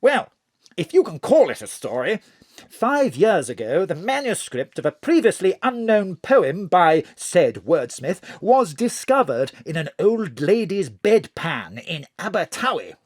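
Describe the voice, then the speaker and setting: An actor playing an excitable, flowery middle-aged government chief in an audio drama, with theatrical, flustered phrasing. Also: male voice, sits high, measured pace, no echo, studio quality, heavily acted. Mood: confiding, dramatic. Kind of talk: audio drama acting over a phone call.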